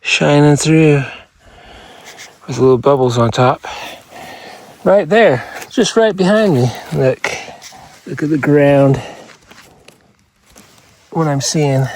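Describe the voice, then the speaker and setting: A man vlogging casually outdoors, showing finds in short, laid-back muttered fragments with a gravelly, husky voice. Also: medium pitch, slow pace, long pauses, a little mellow. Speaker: rockhounding vlogger. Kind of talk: casual outdoor show-and-tell. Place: outdoors on open hilly ground.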